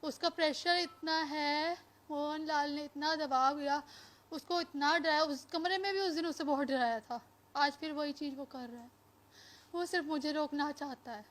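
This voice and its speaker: A woman's voice: high-pitched, fairly even intonation, normal speed, a bit bright. A woman giving an impassioned, defiant public statement as a complainant, speaking emphatically and mixing in some English words. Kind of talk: impassioned spoken statement to media.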